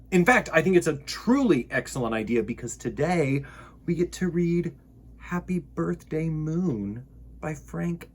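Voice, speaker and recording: A man's voice: fairly high pitch, fairly bright tone. A man hosting a children's storytime, reading picture books aloud with playful, theatrical delivery and rambling asides. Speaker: storytime host.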